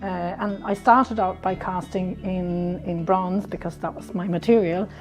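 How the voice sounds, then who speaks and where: Danish-born woman speaking with halting restarts. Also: fairly low pitch, fairly even intonation, soft background music, low-quality recording. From Denmark. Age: middle-aged. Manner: halting, many restarts and fillers. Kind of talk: casual interview. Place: her rural workshop.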